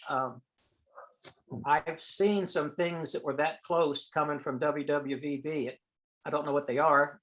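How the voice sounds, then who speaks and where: A man's voice: a little high, a bit bright, low-quality recording. A man giving an informal technical presentation on a teleconference, explaining step by step and sometimes thinking aloud. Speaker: presenter at an amateur radio science teleconference. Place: online teleconference call.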